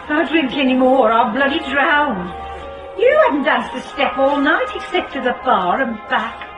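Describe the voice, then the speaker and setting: A bossy brassy british wife in a radio play, high swoopy voice, music going behind. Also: female voice, lively intonation, normal speed. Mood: peeved. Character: bossy, brassy, chatty, jealous wife out dancing. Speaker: actress in a radio drama. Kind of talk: British radio drama acting. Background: music and busy dance hall crowd.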